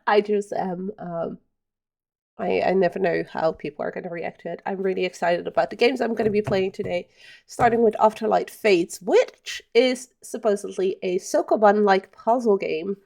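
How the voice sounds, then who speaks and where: A woman's voice: a little high, gravelly, darkish tone. A Dutch woman with a Dutch accent, chatting casually on a live stream and answering chat with many ums. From Netherlands.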